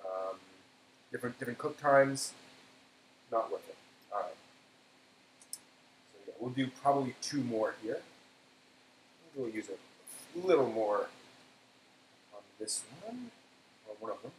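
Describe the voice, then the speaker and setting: Man, live stream host, casual cooking chat, halting self-talk, roomy echo, background noise. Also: mid-range voice, expressive. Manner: halting, self-talking, trailing off. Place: indoor kitchen. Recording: echoey room, background noise.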